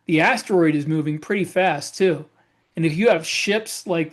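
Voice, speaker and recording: A man co-hosting a casual discussion, talking conversationally and feeling his way into each point. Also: on the high side. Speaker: podcast co-host and author. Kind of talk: casual panel discussion about writing.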